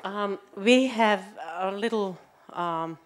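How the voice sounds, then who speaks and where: A woman moderating a conference panel, polite and slightly halting with fillers. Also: mid-range voice.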